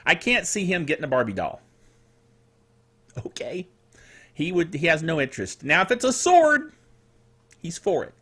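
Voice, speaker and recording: A middle-aged man hosting a solo tech news show in a chatty, playful and quirky way, with theatrical asides and a slightly dry, rough throat. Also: a little high, very animated, moderate pace, pauses often, bright, forceful tone.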